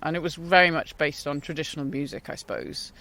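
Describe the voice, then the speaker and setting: A woman's voice: low-pitched, a bit bright. A Welsh woman in her forties, a guest musician talking in a thoughtful, hesitant way during an outdoor interview on a walk. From Wales. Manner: thoughtful, hesitant, often pauses mid-phrase.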